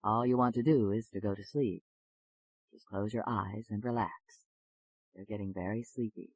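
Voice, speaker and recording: A woman voicing a calm male doctor in an audiobook, speaking in a slow, reassuring, coaxing manner. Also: a bass voice, no room sound, pitched below the performer's own voice, rough recording. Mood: soothing.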